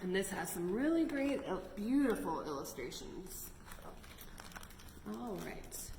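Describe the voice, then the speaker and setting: A woman's voice: medium pitch, big pitch swings, slow, a little mellow. A woman hosting a children's storytime, reading picture books aloud expressively and adding chatty, exclaiming asides to the listeners. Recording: echoey, reverberant room with noticeable noise.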